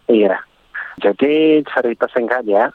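Foreign male guest on a phone line, careful and halting, dry and lo-fi. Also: mid-range voice, no echo. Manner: halting, careful speech of a language learner. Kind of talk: radio interview.

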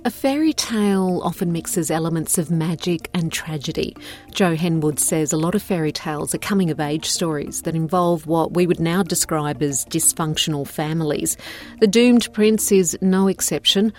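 A woman's voice: low-pitched, normal speed. A woman reading scripted documentary narration as a presenter. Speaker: podcast presenter and reporter. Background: background music.

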